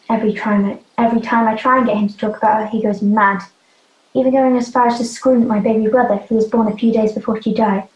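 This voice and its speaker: A woman's voice: fairly high pitch, a little mellow, lightly acted. A young woman in a youth theatre play acting a defiant, hurt teenage runaway girl, earnest and insistent. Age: young adult. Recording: muffled, roomy amateur recording.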